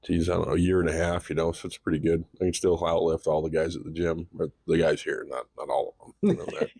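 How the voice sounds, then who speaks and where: A man's voice: low voice, flat delivery, brisk, creaky, a little mellow, breathy edge, no echo, studio quality. A man of thirty-five co-hosting a podcast, rambling in casual chat, fumbling his words and laughing at himself. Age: 30s. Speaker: podcast co-host.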